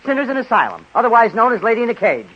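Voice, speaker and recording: Husky, quick-talking studio aide. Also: male voice, very high-pitched, sing-song, heavily acted. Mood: tactful. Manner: polite, precise, wordy. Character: deferential, canny studio aide, adult man, patient. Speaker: actor in radio comedy. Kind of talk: 1930s radio comedy acting. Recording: muffled 1930s radio recording.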